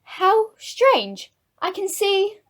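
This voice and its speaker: A woman's voice: very high voice, sing-song, drawn-out words, a little hiss or hum, full character voice. A woman playing an earnest, formal news reporter giving an outside broadcast in a radio play. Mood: puzzled, curious.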